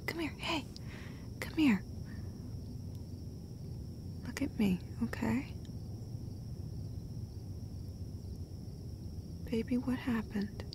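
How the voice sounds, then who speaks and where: Woman acting soft, slow-talking, caring girlfriend. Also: a little high, noisy background. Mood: worried, tender. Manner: soft-spoken, often dropping to a whisper, hesitant. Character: caring, gentle, casually sweary girlfriend comforting her partner. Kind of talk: intimate roleplay audio acting.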